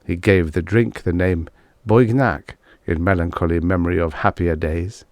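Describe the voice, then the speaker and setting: Low-voiced man narrating, very dry sound. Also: steady tone, a little mellow, no echo. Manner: measured, formal storytelling. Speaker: audiobook narrator. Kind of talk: scripted narration of vintage science fiction stories.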